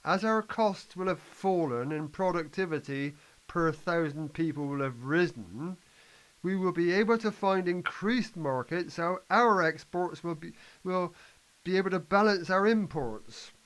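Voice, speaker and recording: A man's voice: high-pitched, a little slow, fairly bright tone, low-quality recording. A man in an amateur play playing a confident, impatient economic reform advocate, lecturing emphatically and stumbling slightly over lines. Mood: assured. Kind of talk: amateur scripted play reading.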